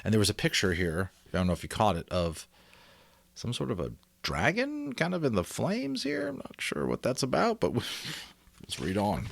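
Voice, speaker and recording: A man reading a classic story aloud as host and narrator, theatrical and expressive. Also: on the low side, normal speed, vocal fry, no room sound. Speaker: audiobook reader and host. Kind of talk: dramatic storybook narration with casual asides.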